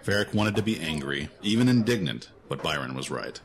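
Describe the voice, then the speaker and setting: Deep-voiced male story narrator. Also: sing-song, a little fast. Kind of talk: scripted fantasy story narration.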